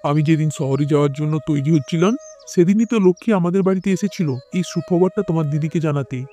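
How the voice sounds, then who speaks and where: A man's voice: a little high, fast talker, dark tone, natural voice. A man playing a kindly, caring married brother-in-law in a cartoon story. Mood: earnest. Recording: music bed behind the voice.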